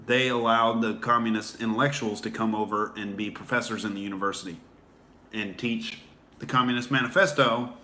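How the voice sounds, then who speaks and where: A man's voice: fairly low pitch, fairly even intonation, a little fast, sharp tone, a little hiss or hum. A man hosting a casual livestream, rambling with many fillers and restarts while answering viewer comments. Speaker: livestream host.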